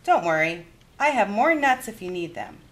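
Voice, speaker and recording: A woman voicing a kindly, chatty old grandmother in a storybook, with animated, exclamatory delivery. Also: mid-range voice, moderate pace, pitched above the performer's own voice, natural voice. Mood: chatty, fussing.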